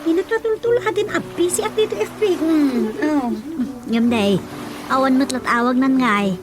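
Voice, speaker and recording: A woman playing a suspicious, nagging, excitable relative with an Ilocano accent in a radio comedy drama. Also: high voice, normal speed, natural voice. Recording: music behind the voice.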